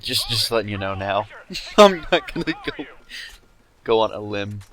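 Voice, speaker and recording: A man's voice: fairly low pitch, lively intonation, normal speed, a bit bright. A man co-hosting a let's-play session, making dry, sarcastic jokes about the game. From United States. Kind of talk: casual gaming banter.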